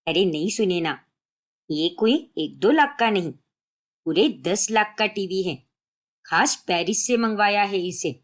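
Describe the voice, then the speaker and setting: A woman's voice: medium pitch, expressive, a little slow, lightly acted. A woman voicing a rich, middle-aged mother-in-law in a cartoon story, boastful and smugly condescending. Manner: boastful, listing grandly.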